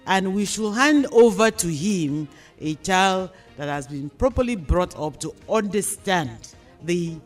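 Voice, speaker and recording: A mature Nigerian-accented woman presents a radio discussion. Her delivery is formal and emphatic, with measured phrases aimed at listeners. Faint music plays behind her voice. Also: fairly low pitch. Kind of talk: moderating and addressing listeners in a radio panel discussion.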